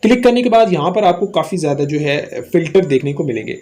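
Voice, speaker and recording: Male tutorial host, Pakistani accent, high-pitched voice, walking viewers through app steps. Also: lively intonation, fast, a little mellow. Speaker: host of a tech tutorial channel. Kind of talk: scripted-style phone app tutorial narration.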